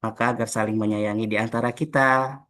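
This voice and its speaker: A young man from Jakarta runs a relaxed podcast chat with colleagues. His voice sits at an ordinary middle pitch, and he speaks in a friendly way, with filler pauses between questions. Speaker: podcast host. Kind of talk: casual group podcast chat.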